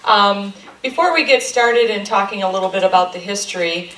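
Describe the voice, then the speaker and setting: A woman's voice: medium pitch, brisk, bright, forceful tone, distant mic. A middle-aged woman from Michigan, a chamber of commerce president giving a friendly, rambling talk to a local group, with many ums. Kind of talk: informal presentation to a local group. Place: meeting room. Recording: echoey room recorded from afar.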